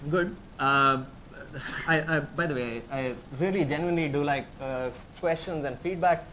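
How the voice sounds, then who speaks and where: Man, Indian accent, fairly high voice, conversational lecturer, faint background hiss. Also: moderate pace, a bit bright. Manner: conversational, explains step by step and invites questions. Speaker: professor giving a tutorial. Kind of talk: technical lecture.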